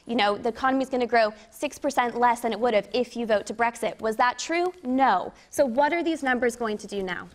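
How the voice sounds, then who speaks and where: A woman's voice: on the high side, fairly quick, few pauses. A woman arguing as a panelist in a debate, articulate and assertive.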